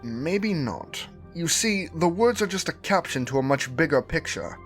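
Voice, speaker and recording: A man playing a precise, intrigued robot scientist in an audio drama, technical and deliberate. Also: a little high, lively intonation, faint music behind, lightly acted.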